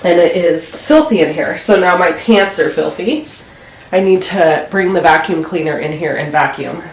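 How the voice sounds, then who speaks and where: A woman with a stuffy head cold chats casually while she clears out her home craft room. She rambles a bit and uses lots of filler words. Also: lowish voice, normal speed. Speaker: crafting vlogger. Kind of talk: casual chat while decluttering. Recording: echoey room, faint noise.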